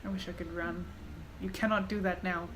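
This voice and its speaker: A woman's voice: on the low side, steady tone, brisk, background noise. A woman giving casual commentary as she plays through a video game. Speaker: gamer host. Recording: game music behind commentary. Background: game music.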